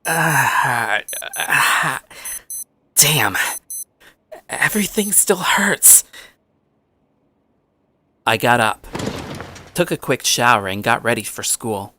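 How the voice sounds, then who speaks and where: A man's voice: medium pitch, animated, drawn-out words, long pauses, bright, forceful tone, slight background noise, heavily acted. A man playing a bitter, downtrodden teenage schoolboy who narrates his own story in a dramatic first-person style. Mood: weary, brooding. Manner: brooding, self-pitying.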